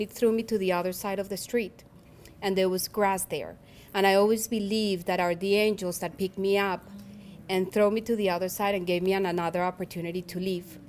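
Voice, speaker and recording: A woman's voice: mid-range voice, a little mellow, slight background noise. Earnest Colombian-accented woman in her forties. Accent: Colombian Spanish. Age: 40s. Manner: earnest, reflective, restarts phrases often. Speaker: keynote speaker. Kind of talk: personal speech at a luncheon.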